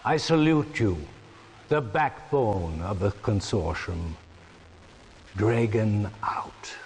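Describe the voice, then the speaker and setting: Smug totalitarian leader, game villain; pompous measured oratory, audible background noise. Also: male voice, fairly low pitch, lively intonation, a little mellow. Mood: grandly oratorical. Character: smug, menacing male chairman of a totalitarian regime. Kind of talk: theatrical villain monologue. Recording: noisy game cutscene audio.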